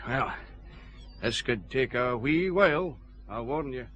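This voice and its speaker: Bright-voiced, cautious Scottish countryman. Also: mid-range voice, lively intonation, slow pace, a little hiss or hum. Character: cautious, practical countryman guiding police along a path. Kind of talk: radio detective drama acting.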